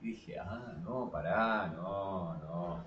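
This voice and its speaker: A man's voice: low voice, extremely slow, a bit bright, lo-fi sound. A young man with an Argentine accent co-hosting a podcast in casual, jokey chat, repeating himself for emphasis. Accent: Argentine Spanish. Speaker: podcast co-host. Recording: echoey, distant mic, crowd noise behind.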